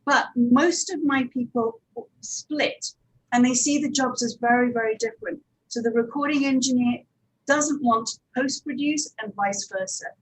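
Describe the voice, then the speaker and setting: A woman's voice: sits high, moderate pace, breathy edge, rough recording. A woman producer and director talking informally on a panel, in a conversational, hedging way.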